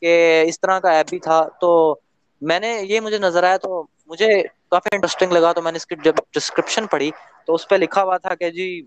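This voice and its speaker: A man's voice: sits high, fairly even intonation, normal speed, clean tone, highs cut off. A man from Lahore with a Pakistani accent, chatting casually and hesitantly as he searches for words. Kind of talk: casual chat with a new acquaintance.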